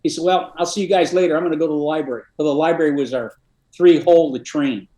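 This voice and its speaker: An older man, a retired army colonel, telling war stories in a dry, matter-of-fact way with many pauses and fillers. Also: a little high, fairly even intonation, mellow, echoey room, a little hiss or hum. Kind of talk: casual interview storytelling.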